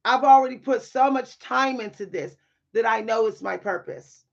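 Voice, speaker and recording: A woman with a deep, at times loud voice, speaking in a bold, sassy way with dry humor and repeating phrases for emphasis in a motivational monologue. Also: moderate pace, creaky, dull audio. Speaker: spiritual content creator and writer.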